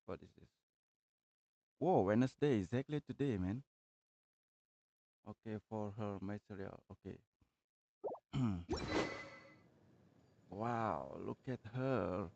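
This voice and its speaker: A man's voice: lowish voice, takes it slowly, vocal fry, pauses often, warm tone, lo-fi sound, a little hiss or hum. A man livestreaming a video game, chatting casually and muttering to himself in short phrases. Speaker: live streamer playing a video game. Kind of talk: casual gameplay livestream chat.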